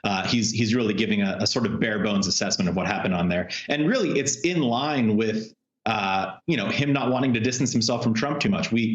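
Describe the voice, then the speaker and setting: An American man, a political reporter, giving informal live analysis in a loose, conversational way over a remote link from a room. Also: fairly low pitch. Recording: roomy, dull video call sound.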